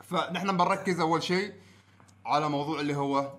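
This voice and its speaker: A man's voice: fairly high pitch, fast, fairly bright tone. A man with an Omani Arabic accent chatting casually as a podcast co-host, repeating words for emphasis as he makes his points. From Oman. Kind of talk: casual chat and debate.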